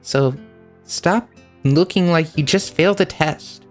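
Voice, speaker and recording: A loud, cheerful schoolgirl full of eager bounce, put on by a man reading aloud. She talks fast and friendly, her voice lifted high above a plain speaking range. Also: faint music behind. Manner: bouncy, eager, laughs loudly.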